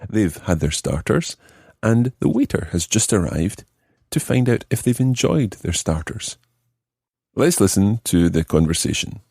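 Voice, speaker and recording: Man, language lesson host, mellow and creaky, dry close sound, explanatory scripted delivery. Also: lowish voice, animated, a little slow, no echo. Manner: explanatory, addresses the listener with questions. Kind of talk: clear scripted teaching narration, switching between languages.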